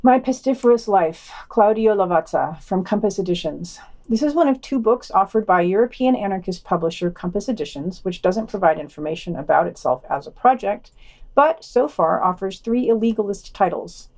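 A woman's voice: mid-range voice, lively intonation, moderate pace, darkish tone. A woman reading scripted news items and long quotations for a podcast, dropping in wry asides.

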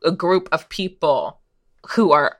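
A woman's voice: low voice, animated, slow, a bit bright. A woman talking candidly and informally as a guest in a casual, reflective podcast discussion.

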